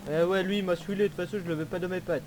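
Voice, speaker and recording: A man's voice: sits high, fairly even intonation, very fast, darkish tone, natural voice. A man voicing an insolent, whining prisoner who taunts the police in improvised online game role-play. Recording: faint noise on game voice chat.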